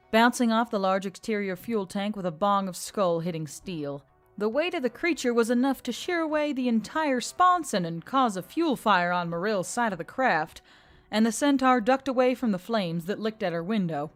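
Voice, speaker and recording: A woman narrator reading a dramatic action story from a script. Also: medium pitch. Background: faint background music.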